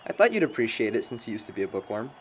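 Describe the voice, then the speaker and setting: A young man playing a bookish, low-key friend in an indie film, talking in a hesitant, understated way. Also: very animated, very fast, a little hiss or hum. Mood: bashful, bantering. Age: young adult. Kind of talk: naturalistic amateur film dialogue.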